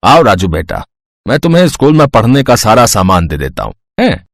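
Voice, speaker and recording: A man's voice: medium pitch, very animated, brisk, dry recording. A man playing a friendly, helpful, deferential school peon in a children's story.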